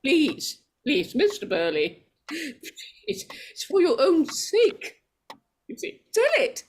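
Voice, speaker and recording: A woman playing a strange, fretful and possessive character, halting and pleading in melodramatic 1940s radio horror style. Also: sits high, wildly swooping pitch, slight background noise, exaggerated voice. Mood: ominous pleading.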